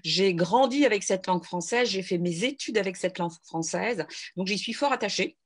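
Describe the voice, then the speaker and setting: A woman's voice: medium pitch, lively intonation, normal speed. A middle-aged woman from France, a guest in a radio interview, speaking earnestly with frequent restarts and hesitations.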